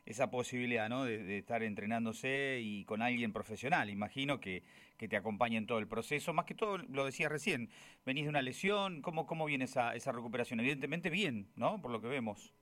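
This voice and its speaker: Encouraging Argentine radio co-host, mid-pitched. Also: male voice, fairly quick, fairly bright tone. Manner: encouraging, with frequent brief affirmations. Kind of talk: casual live radio interview. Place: radio studio.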